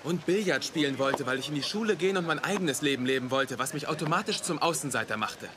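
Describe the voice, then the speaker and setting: A man's voice: fairly high pitch, fast, noisy background, close to an everyday voice. An actor playing an earnest, wounded young man in a dramatic dialogue scene. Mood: hurt, defensive. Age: young adult. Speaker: actor in an audio drama or dubbed series.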